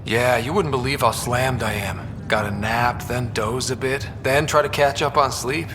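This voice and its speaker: A man's voice: mid-range voice, steady tone, moderate pace, close to an everyday voice. A man playing a wry, wistful young soldier in a sci-fi video game, speaking in a reflective, conversational way. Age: young adult. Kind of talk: naturalistic video game dialogue acting. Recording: reverberant room, loud ambient hum behind.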